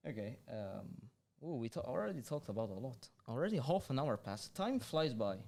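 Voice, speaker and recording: A man's voice: medium pitch, very animated, normal speed, creaky, darkish tone. A man hosting a podcast interview, hesitant and stammering, repeating words as he asks his questions. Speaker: podcast host. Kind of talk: casual interview chat.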